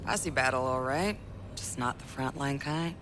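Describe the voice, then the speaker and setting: A man's voice: mid-range voice, normal speed, background noise, close to an everyday voice. A man playing a cocky, foul-mouthed, cynical mercenary in a video game cutscene, with a brash sneering manner. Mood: bitter, sneering.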